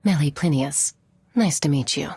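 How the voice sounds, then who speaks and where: A woman's voice: low voice, moderate pace, darkish tone, slightly rough, no room sound, exaggerated voice. A woman playing a composed, reserved female scholar in video game dialogue, speaking precisely.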